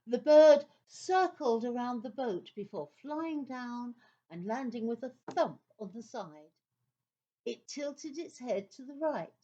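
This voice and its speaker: A woman narrating a scripted children's story in an expressive, dramatic way. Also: fairly high pitch, slow pace, muffled recording.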